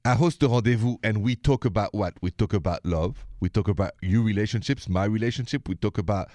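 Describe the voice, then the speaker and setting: A man's voice: on the low side, brisk, darkish tone, no echo. A man from Paris with a French accent, hosting a call-in radio show and giving relationship advice in a conversational, direct way. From Paris, France.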